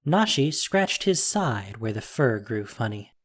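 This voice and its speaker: A narrator reading a scripted fantasy audio drama in a measured, dramatic, descriptive way. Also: medium pitch, big pitch swings, breathy edge.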